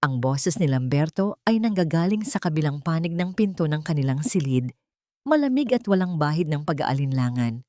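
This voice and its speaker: Female narrator for dramatic fiction, lowish register, scripted read, dry sound. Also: big pitch swings, moderate pace, mellow, no echo.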